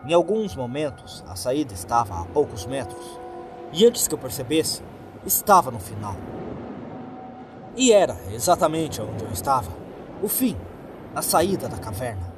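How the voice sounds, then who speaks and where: A Brazilian man reading a horror story in a dramatic first-person narration, with deliberate, suspenseful phrasing. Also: medium pitch, big pitch swings. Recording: music behind the voice. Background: background music.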